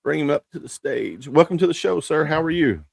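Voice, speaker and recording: A man's voice: a little high, lively intonation, brisk, creaky, husky, no room sound. A man hosting a podcast, upbeat and chatty, laying out the topics with many fillers. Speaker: podcast host. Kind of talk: casual hosting chat.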